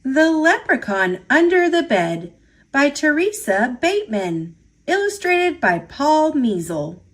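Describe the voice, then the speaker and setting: A woman reading a children's picture book aloud in an expressive storytelling style. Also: high voice, slow. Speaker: storybook reader.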